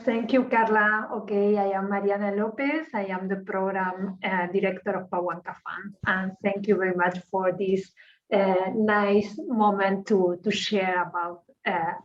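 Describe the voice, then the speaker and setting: A woman's voice: medium pitch, little pitch movement, unhurried. Courteous woman on a muffled call. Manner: hesitant, courteous. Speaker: program director. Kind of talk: video call introduction. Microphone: video call. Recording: muffled video call audio.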